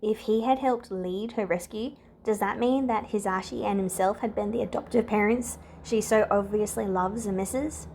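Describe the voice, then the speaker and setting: A woman's voice: medium pitch, moderate pace, creaky, hardly any pauses, darkish tone, a little hiss or hum. A woman reading scripted fiction aloud as a narrator. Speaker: podfic reader.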